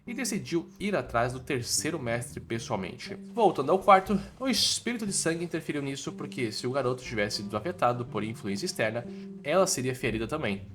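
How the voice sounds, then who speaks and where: A man's voice: medium pitch, very animated, a little fast, faint music behind. A man with a Brazilian accent narrates a story recap in an informal, dramatic way, with colloquial asides.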